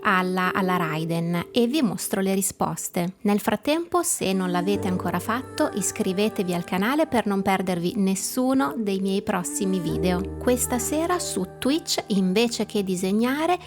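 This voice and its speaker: A woman's voice: medium pitch, expressive, normal speed, few pauses. An Italian woman hosting a gameplay channel, talking casually and informally to her viewers. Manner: chatty, informal. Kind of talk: casual video outro to viewers. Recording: voice over prominent background music.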